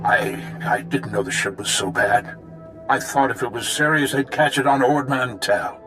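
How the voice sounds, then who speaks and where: A man's voice: mid-range voice, moderate pace, pushed and bright, natural voice. Male actor as selfish army commander, middle register, defensive, easily flustered, game cutscene, light background music. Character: self-serving, flustered military commander. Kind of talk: dramatic video game acting.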